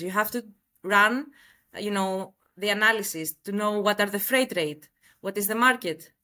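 A woman's voice: medium pitch, pushed and bright. A woman in her late twenties from Greece with a Greek accent, answering conversationally as an interview guest. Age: late 20s.

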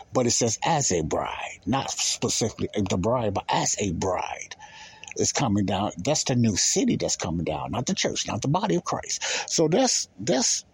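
A man's voice: lowish voice, a little mellow, slightly rough. A man giving informal, emphatic Bible teaching as a podcast host, repeating key phrases and posing rhetorical questions.

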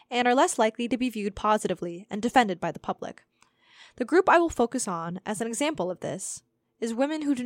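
A young woman narrates a written essay in a fairly high voice, recorded close and dry. She reads from a script in the manner of an audio zine piece. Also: no echo. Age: young adult. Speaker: reader for a student audio zine.

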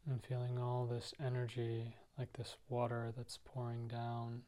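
A man leading a guided meditation, speaking gently and softly in unhurried, spaced-out phrases. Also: mid-range voice, monotone. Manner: soft, gentle, unhurried instructing with pauses. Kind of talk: guided body-scan meditation.

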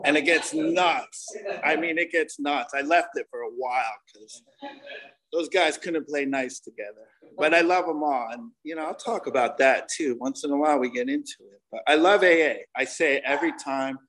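A man's voice: on the high side, normal speed, a bit bright, rough recording. A man in his forties with an American accent, sharing an informal personal testimony at a recovery meeting in a candid, slangy, conversational way. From Connecticut, United States. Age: 40s.

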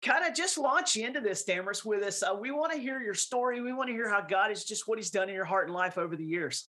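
A woman hosts a casual podcast interview with quick, rambling enthusiasm. Her voice is bright and sharp in tone. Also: mid-range voice, animated. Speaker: podcast host.

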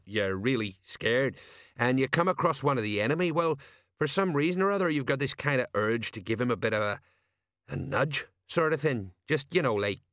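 A man's voice: medium pitch, fairly bright tone, no echo, clean studio sound. A man voicing a defensive, chatty older working-class police sergeant who rambles in colloquial, folksy speech, for an audiobook.

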